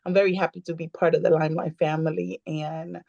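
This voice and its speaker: A woman in her fifties whose first language is Spanish, speaking heartfelt and halting in a casual panel talk. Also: sits low, level tone, gravelly, dry recording, lo-fi sound. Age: 50s. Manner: warm, heartfelt, halting with fillers. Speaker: guest, community member.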